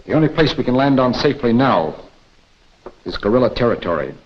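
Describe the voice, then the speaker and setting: An American actor playing a composed intelligence instructor in a 1940s training film, speaking in a measured, instructive way. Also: male voice, mid-range voice, sing-song. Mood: matter-of-fact. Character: composed male intelligence instructor briefing an agent. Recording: dull, muffled 1940s film soundtrack.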